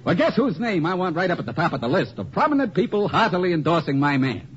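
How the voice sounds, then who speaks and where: An actor with an American accent playing a smug, crude machine politician who taunts in slang, in a 1950s radio drama. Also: male voice, fairly high pitch, very animated, fast. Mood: taunting, dismissive. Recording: muffled, noisy old 1950 radio recording.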